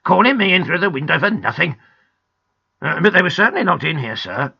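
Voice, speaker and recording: A woman voicing a gruff, officious and rather cross policeman in a children's storybook. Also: low-pitched, big pitch swings, quick pace, dull audio, full character voice.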